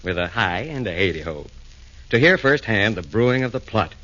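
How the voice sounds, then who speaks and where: A man's voice: on the low side, expressive, normal speed, sharp tone. A man narrating a rhyming comic radio drama in a theatrical, sing-song manner. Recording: dull old radio broadcast.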